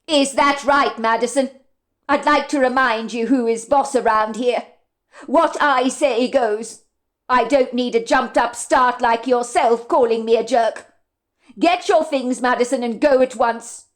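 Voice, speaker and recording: A bossy male restaurant manager read by a woman snaps brusquely and dismissively. The voice stays high, close to the reader's own. A storybook boss with no patience. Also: a little slow, close to an everyday voice. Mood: stern. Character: stern middle-aged male restaurant manager, curt.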